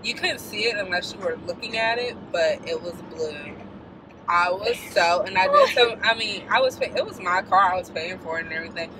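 A woman's voice: mid-range voice, animated, a little fast. A woman in her twenties with an American accent, telling stories in a casual, slangy way. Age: 20s. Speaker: vlogger. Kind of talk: casual chat, storytelling. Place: inside a moving car. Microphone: dashboard camera. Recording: noisy moving car, distant mic.

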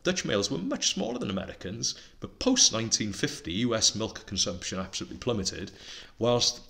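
A middle-aged man with a Welsh accent whose first language is Welsh. His voice sits low but sounds bright and forceful as he explains figures eagerly in a casual podcast chat, with self-deprecating asides. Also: huge pitch swings, a little fast, gravelly. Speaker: podcast co-host.

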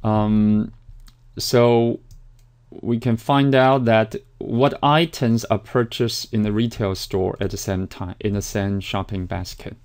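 Hesitant lecturing man, smooth low voice. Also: fairly even intonation, slow pace, darkish tone. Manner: hesitant, many fillers and restarts. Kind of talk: recorded slide lecture.

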